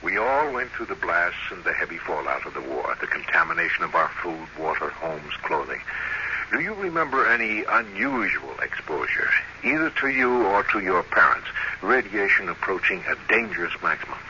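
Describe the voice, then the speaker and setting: An authoritative, probing doctor in a 1950s radio drama. He instructs deliberately in a low, very animated voice, under clear noise on an old recording. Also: lo-fi sound. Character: probing, authoritative male doctor treating a patient. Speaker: doctor, therapist.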